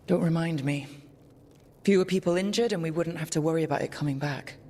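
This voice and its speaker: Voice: mid-range voice, fairly quick, a little mellow, lightly acted. An actor playing a weary but wry young adventurer who leads a team in a video game. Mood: wry, resolute.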